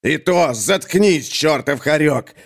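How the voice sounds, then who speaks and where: A surly, slow-witted brute of a man, put on by a male audiobook narrator. He grumbles gruffly with simple-minded menace and boastfulness, his pitch moving around a lot. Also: animated. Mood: threatening. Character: dim, menacing male thug who speaks of himself by name. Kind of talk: audiobook character acting.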